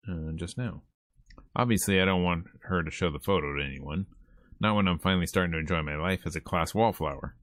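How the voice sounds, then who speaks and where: A man streaming a visual novel, reading the narration aloud in a conversational, expressive way. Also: low-pitched, no room sound, studio quality. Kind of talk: game let's-play, reading narration aloud.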